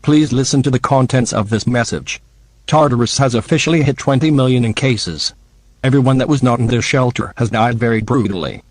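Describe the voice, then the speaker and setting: Synthetic male voice, medium pitch, very dry sound, scripted emergency bulletin, announcer. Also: brisk, dry recording. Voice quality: synthetic text-to-speech voice.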